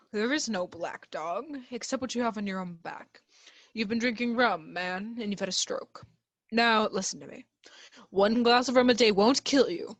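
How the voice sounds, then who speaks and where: A woman playing a stern, blunt male country doctor in a radio play, with a brisk, admonishing manner. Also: mid-range voice, no room sound, lightly acted. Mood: brusque.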